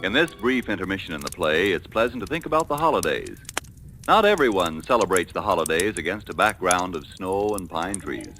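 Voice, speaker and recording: A man's voice: sits low, very animated, a little fast, music in the background. A man with an American accent reading a scripted 1940s radio commercial as announcer. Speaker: advertising announcer. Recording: faintly crackly vintage radio recording.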